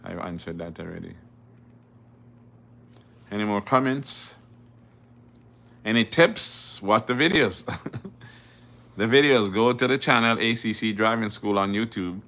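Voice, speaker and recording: A man's voice: on the low side, lively intonation, a little slow, vocal fry, pauses often, a bit bright. A middle-aged man from Jamaica, a driving instructor, hosting a casual live Q&A where he reads viewer questions aloud and answers them plainly. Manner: repeats words and restarts often. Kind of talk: casual livestream Q&A.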